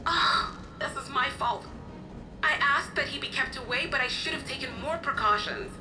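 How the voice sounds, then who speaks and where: An actress playing a kindly, motherly guardian in a video game cutscene, explaining things in a gentle storytelling way. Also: high-pitched, normal speed, music in the background, lightly acted, poor audio. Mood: apologetic, worried. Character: kindly motherly woman, caring guardian of an orphaned boy.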